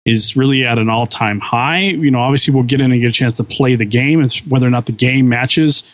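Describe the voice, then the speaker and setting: A man's voice: mid-range voice, fairly quick, no echo. A man co-hosting a casual podcast, talking with enthusiasm and often restarting his sentences. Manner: enthusiastic, rambling with frequent restarts. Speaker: podcast co-host.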